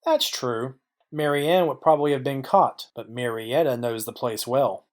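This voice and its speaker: A man playing a clever private detective in a noir audio drama, confident, wry and deliberate. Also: mid-range voice, lightly acted. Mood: deductive.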